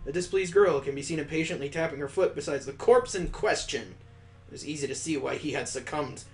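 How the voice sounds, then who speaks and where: A man's voice: fairly high pitch, a little fast, soft background music. A man hosting a gaming video, narrating a visual novel with improvised asides as he reads aloud.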